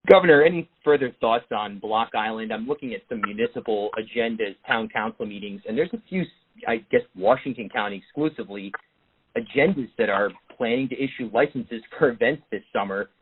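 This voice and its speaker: Through a narrow phone connection, a man with a slightly rough, husky voice at middle pitch asks questions as a reporter on a press call. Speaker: news reporter. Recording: muffled, narrow phone line.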